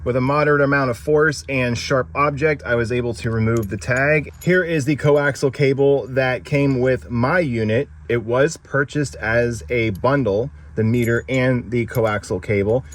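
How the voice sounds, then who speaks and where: A man's voice: medium pitch, expressive, normal speed. A man narrating a casual unboxing and review as the video's host, explaining things in a plain-spoken, instructional way.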